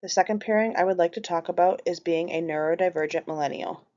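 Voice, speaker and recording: Low-voiced Minnesotan woman reading a presentation. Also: fast, a little mellow. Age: 30s. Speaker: student presenter. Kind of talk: read class presentation. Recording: slightly muffled lo-fi recording.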